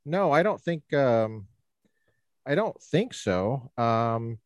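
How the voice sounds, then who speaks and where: A man hosting a podcast interview, talking in an animated, rambling way with playful teasing. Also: medium pitch, takes it slowly, no room sound, highs cut off. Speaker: podcast host. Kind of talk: casual interview chat.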